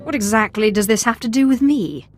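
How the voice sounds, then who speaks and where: A woman's voice: on the high side, fairly quick, soft background music, close to an everyday voice. A woman playing a cool, commanding Sith interrogator. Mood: cold, insistent. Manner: controlled, clipped, authoritative. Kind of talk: video game voice acting.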